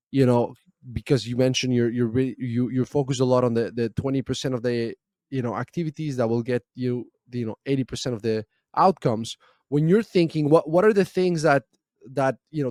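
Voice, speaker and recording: A man's voice: mid-range voice, darkish tone, no room sound, clean studio sound. A man hosting a podcast interview, asking long, winding questions with frequent restarts. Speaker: podcast host.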